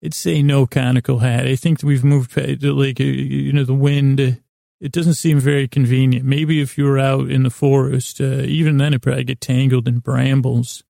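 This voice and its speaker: A man hosting a sleep podcast with a rambling comic monologue, meandering and halting, stumbling into tangents and interrupting himself. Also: mid-range voice, little pitch movement, dark tone, dry recording, clean studio sound. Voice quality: very creaky, gravelly drone.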